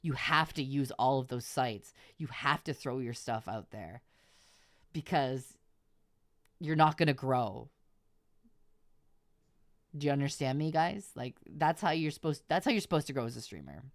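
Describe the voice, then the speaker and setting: A woman's voice: a bass voice, dry recording, clean studio sound. A woman streamer giving advice to her viewers in informal livestream chat, repeating her points for emphasis.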